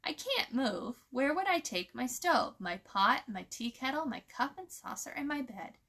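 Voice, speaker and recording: A woman voicing a prim, sharp-tempered little doll made of twigs, snappish and indignant, in a storybook reading. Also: high-pitched, sing-song, measured pace, voice pushed up, heavily acted.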